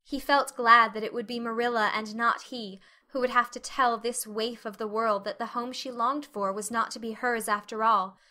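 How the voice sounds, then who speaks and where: A woman reading a novel as an audiobook narrator, in an expressive, measured storytelling manner. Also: fairly high pitch. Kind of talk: dramatic scripted narration of a novel.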